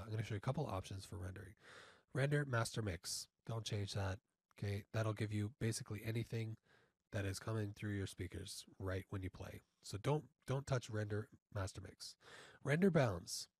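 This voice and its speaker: Man, husky low voice, informal tutorial instructor, halting step-by-step delivery, dry close-mic sound. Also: darkish tone, no echo. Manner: halting, often checking in with okay. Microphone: close studio mic through audio interface.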